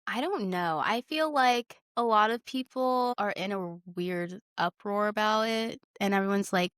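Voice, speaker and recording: A woman co-hosting a casual podcast, informal and animated as she tells things, acting out quoted asides. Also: medium pitch, a little slow, smooth, no echo, clean studio sound. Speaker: podcast co-host.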